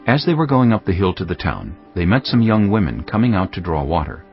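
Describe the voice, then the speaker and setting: A man narrating a dramatized Bible story in a measured, scripted storytelling style. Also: low-pitched, sing-song, faint music behind. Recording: slightly dull sound.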